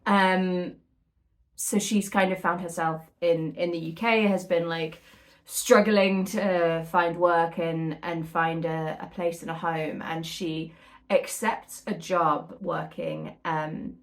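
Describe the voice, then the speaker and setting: Low-voiced woman, chatty vlogger. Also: steady tone, slow pace. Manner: informal, hesitant, self-correcting, rambling storytelling. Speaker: book vlogger, host. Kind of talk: casual chatty book vlog, reviewing reading.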